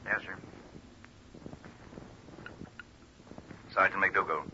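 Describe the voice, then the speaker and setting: An actor playing a gruff, skeptical police sergeant in an old radio drama, blunt in his questions. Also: low-pitched, fairly even intonation, fast talker, pushed and bright. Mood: routine, casual. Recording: muffled, faintly noisy old radio recording.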